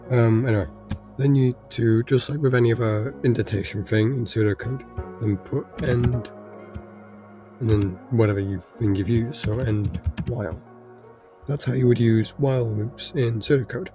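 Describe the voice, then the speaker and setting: A man with a cold, his voice hoarse and congested, explaining programming in a rambling casual tutorial. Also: medium pitch, steady tone, normal speed, over music. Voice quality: gravelly, congested. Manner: rambling, restarts with fillers.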